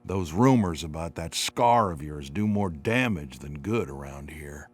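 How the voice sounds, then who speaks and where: Deep-voiced, stern old grandfather. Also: lively intonation, measured pace, breathy edge, exaggerated voice. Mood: stern, protective. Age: older. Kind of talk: video game cutscene acting.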